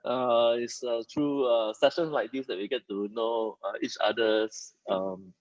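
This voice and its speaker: A man presenting informally at a company meeting, pausing often mid-sentence as he speaks. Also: medium pitch, steady tone, no room sound. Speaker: company leader hosting a staff townhall. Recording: dull, muffled lo-fi audio.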